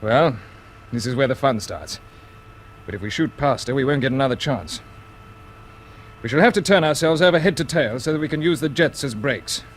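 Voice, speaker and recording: An actor playing a calm, decisive spaceship commander in 1950s radio science fiction, giving clipped, authoritative orders. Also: male voice, medium pitch, normal speed, lightly acted. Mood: urgent, commanding. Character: calm, decisive male spaceship commander, informal with his crew. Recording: noisy vintage 1950s radio recording.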